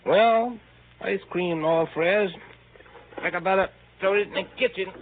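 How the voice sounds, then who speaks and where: A man's voice: sits high, unhurried. An actor playing a bemused, folksy older handyman in a 1930s radio comedy. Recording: tinny 1930s radio broadcast.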